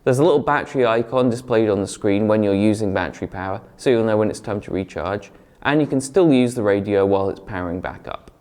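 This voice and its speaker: A young British man presenting a scripted product review in a clear, explanatory way. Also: lowish voice, quick pace, a little mellow. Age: young adult. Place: indoor studio room, seated at a desk.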